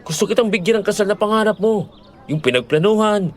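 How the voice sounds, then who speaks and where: A man plays a young Filipino boyfriend in an audio drama. His fairly high voice is earnest and gentle, reasoning patiently and placating like someone responsible. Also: fast talker, natural voice. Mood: earnest pleading.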